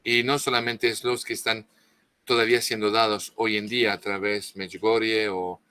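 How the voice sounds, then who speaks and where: French-accented man, bright low voice. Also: little pitch movement, clean tone, dry recording, clean studio sound. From France. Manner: halting, many restarts and fillers. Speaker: guest author. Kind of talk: interview, lecturing.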